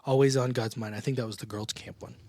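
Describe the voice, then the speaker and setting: A man's voice: mid-range voice, fairly even intonation, fast, darkish tone. Chatty man hosting a church podcast. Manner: chatty, laughs often, stumbles and restarts. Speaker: podcast host. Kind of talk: casual church podcast chat.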